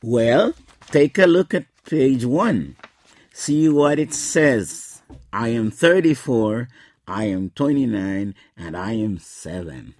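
Slow, patient older man teacher. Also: mid-range voice, animated, a little mellow. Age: 60s. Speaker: English teacher. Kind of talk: scripted radio English lesson.

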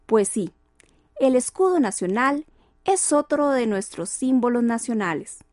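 A woman's voice: a little high, animated, darkish tone, no room sound, studio quality. A woman reading a law text aloud in a careful, official manner. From Costa Rica. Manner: slow, careful, official recital. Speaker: narrator.

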